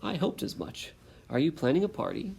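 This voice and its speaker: A man's voice: fairly high pitch, sing-song, brisk, creaky, darkish tone, husky, pitched above the performer's own voice, exaggerated voice. A man voicing a brave, spirited young colonial girl in a raised, lighter voice, reading a storybook aloud. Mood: eager.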